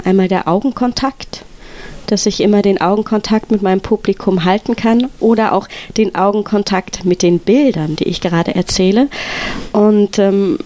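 Woman, storyteller, interview guest, medium-pitched voice, enthusiastic explanations, conversational. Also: darkish tone. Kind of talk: spontaneous conversational interview answers.

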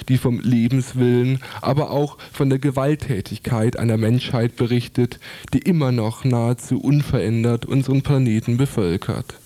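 A man's voice: mid-range voice, warm tone. A man reading a scripted radio book review in formal, literary phrasing, with the odd stumble.

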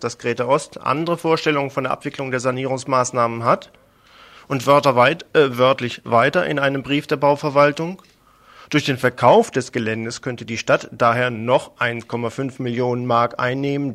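A man's voice: medium pitch, a bit bright. A man presenting radio news, reading a prepared report.

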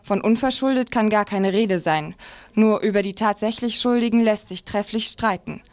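A woman's voice: mid-range voice, normal speed. A woman reading a scripted news commentary on the radio with a satirical slant.

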